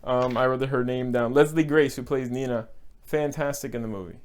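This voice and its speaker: A man's voice: medium pitch, fairly even intonation, moderate pace, clear, resonant tone. A young man from Texas giving an earnest, emotional film review, repeating words for emphasis and laughing now and then. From Texas, United States. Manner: breaks into short laughs. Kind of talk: casual movie review.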